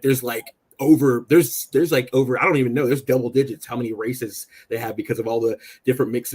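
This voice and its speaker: Rambling American man with a husky voice. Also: mid-range voice, darkish tone. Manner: halting, rambling, agreeable. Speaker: political talk show panelist. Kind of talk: casual political chat.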